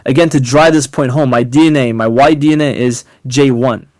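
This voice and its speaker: Guy doing an emphatic opinion rant, rhetorical, pauses on key words, mid pitch, dry sound no echo. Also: fairly even intonation, a little mellow. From North Africa. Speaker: online commentator. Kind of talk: polemical monologue to camera, partly scripted.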